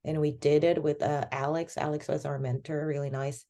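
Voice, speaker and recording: Guest, woman, 30s, from Venezuela; low, warm voice, loose rambling delivery. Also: level tone, normal speed. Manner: rambling, many fillers like "like" and "you know". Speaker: podcast guest. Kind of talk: casual conversational interview.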